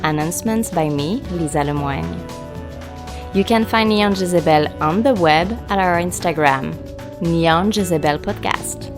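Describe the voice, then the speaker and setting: A woman's voice: fairly low pitch, a little slow. A woman reading scripted podcast credits as the announcer, with music behind her.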